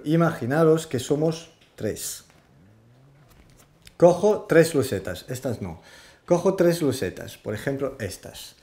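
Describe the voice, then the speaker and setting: A man, hosting a casual board game explainer in a halting way, searching for words. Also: on the high side, darkish tone. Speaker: host of a board game show. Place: small indoor room with game shelves.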